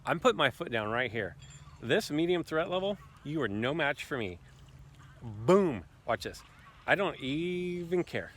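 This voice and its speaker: A man's voice: on the high side, sing-song, unhurried, a bit bright. A man giving casual, playfully boastful commentary on a mobile game while walking in a park. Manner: playful, boastful trash talk. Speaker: gaming YouTuber.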